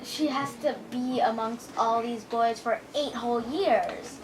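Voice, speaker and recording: A woman offers casual answers as a participant in a book discussion. Her pitch is a little high, and faint ambient noise sits behind her. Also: a little slow.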